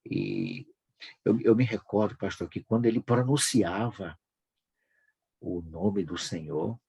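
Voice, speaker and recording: A Brazilian man hosting a devotional broadcast, speaking informally and fervently with a husky voice, repeating phrases for emphasis. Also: low voice, sing-song, slow pace, warm tone, lo-fi sound. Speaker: host of a devotional prayer broadcast.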